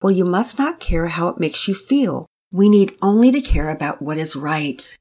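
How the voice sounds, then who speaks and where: A woman reading a gentle, earnest mother. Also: lowish voice, moderate pace, husky. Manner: calm, earnest, counseling. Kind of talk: storybook reading.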